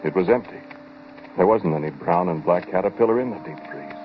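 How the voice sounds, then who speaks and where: An American actor playing a shaken, brooding inventor who tells his story hesitantly and deliberately in 1940s radio drama style. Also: deep voice, lively intonation. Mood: brooding, uneasy. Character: shaken, brooding male inventor recounting his time-machine experiment. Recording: muffled, noisy 1940s radio recording.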